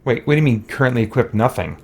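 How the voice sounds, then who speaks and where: A man's voice: medium pitch, animated, very fast, vocal fry, slightly rough. Man thinking aloud while gaming. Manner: musing, talks to himself. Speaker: gaming let's-play host. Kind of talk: casual gameplay commentary, thinking aloud.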